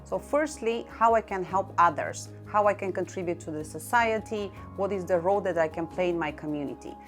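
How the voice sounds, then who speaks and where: A middle-aged woman from Bulgaria with a Bulgarian accent, explaining her work in a measured way and listing items one by one. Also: on the low side. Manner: measured, lists items one by one with short pauses. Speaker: EU youth coordinator. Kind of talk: explanatory talk to camera. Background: faint background music.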